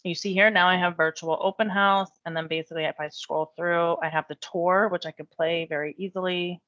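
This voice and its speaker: A woman giving a chatty step-by-step software tutorial while losing her voice. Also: lowish voice, fairly even intonation, fairly quick, no room sound, muffled recording. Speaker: real estate software trainer. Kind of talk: screen-share software tutorial.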